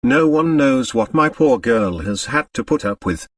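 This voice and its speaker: A gruff American businessman played by a male narrator in a mystery audiobook, blunt and bitter. He speaks with angry emphasis, slightly distant from the microphone. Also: mid-range voice, expressive, a little slow, dry recording, clean studio sound. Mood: savage. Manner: gruff, emphatic, plain-spoken.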